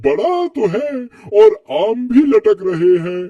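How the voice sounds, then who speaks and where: A man's voice: high-pitched, very animated, takes it slowly, mellow, no echo, recorded from a distance, dull audio, full character voice. A man voicing a greedy, callous woodcutter in a cartoon, brusque in his manner. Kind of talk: cartoon voice acting.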